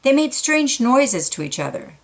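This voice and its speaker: A woman reading a children's picture book aloud, gently pointing out details in the pictures between passages. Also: a little high, big pitch swings, moderate pace, creaky.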